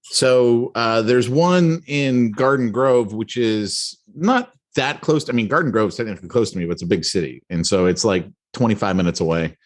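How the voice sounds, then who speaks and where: A middle-aged man co-hosting a podcast, telling a story in a rambling, animated way with many restarts and asides. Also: mid-range voice, normal speed. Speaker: podcast co-host. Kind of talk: casual storytelling chat.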